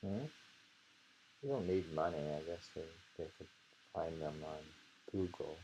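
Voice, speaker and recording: Deep, gravelly man musing over poetry. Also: unhurried, mellow, a little hiss or hum, rough recording. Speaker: poetry reader and discusser. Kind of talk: casual chat with slow poem reading.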